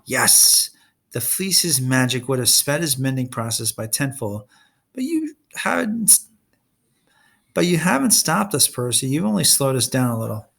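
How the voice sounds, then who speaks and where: A man's voice: mid-range voice, sing-song, unhurried, vocal fry, fairly bright tone, heavily acted. A man reading a storybook as a menacing, sneering young male villain who taunts and gives orders. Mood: sneering, irritated. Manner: taunting, commanding.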